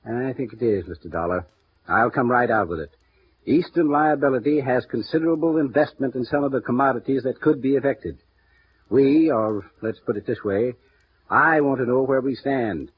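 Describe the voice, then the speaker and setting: An actor playing a smooth, guarded male insurance executive who speaks in measured, confiding tones, in a 1950s radio drama. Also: medium pitch, close to an everyday voice. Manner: measured, confiding, halting with small pauses. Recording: muffled vintage radio broadcast with faint hiss.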